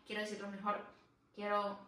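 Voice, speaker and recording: A woman co-hosting a podcast in casual chat, reflective and quick with agreeing murmurs. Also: mid-range voice, completely flat delivery, fairly bright tone, distant mic. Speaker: podcast co-host. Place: room where the podcast is filmed. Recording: very echoey room, mic set back, faint noise.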